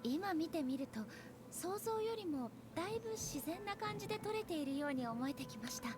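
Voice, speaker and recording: An actress playing a polite, curious, composed female anime game character with a formal, measured manner. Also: very high voice, expressive. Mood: composed curiosity. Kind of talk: Japanese anime game voice acting. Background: game music.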